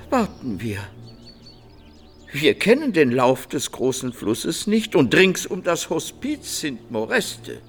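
Brief: anxious blind man, plaintive, slow, widely swinging highish voice, radio drama. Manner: measured, plaintive, repetitive. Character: resigned, anxious blind man waiting for his guide. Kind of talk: German radio play acting.

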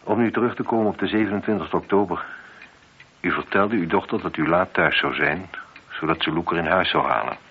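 An actor playing a shrewd, methodical chief police inspector in a radio play, speaking in a measured, probing and politely insistent way. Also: male voice, deep voice, husky. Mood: measured, accusing. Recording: dull, noisy old radio play recording.

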